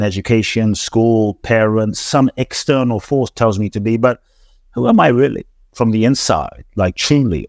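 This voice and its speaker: A man's voice: fairly low pitch, a little mellow, no echo, clean studio sound. A man who grew up in London, a teacher talking as an interview guest in an earnest, reflective way with many restarts and repeated words. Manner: earnest, repetitive, frequent restarts and stammered repeats. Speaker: transformational teacher, podcast guest.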